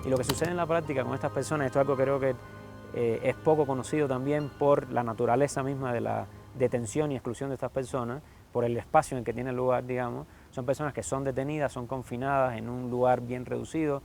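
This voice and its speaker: A man's voice: mid-range voice, steady tone, fast, creaky, a little mellow, soft background music. A man speaking formally and analytically in a documentary interview, criticizing detention conditions. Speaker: commentator or expert interviewee.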